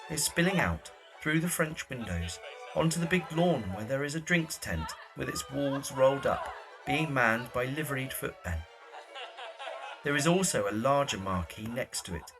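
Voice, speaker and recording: A man's voice: mid-range voice, low-quality recording. A man reading scripted, scene-setting narration for a period drama.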